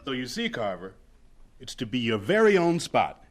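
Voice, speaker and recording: A man's voice: medium pitch, big pitch swings, normal speed, faint music behind, natural voice. An actor playing a stern, clipped, formal man in charge of a college in an audio drama. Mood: softened. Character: stern, impatient, authoritative male college head who can soften. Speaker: school principal.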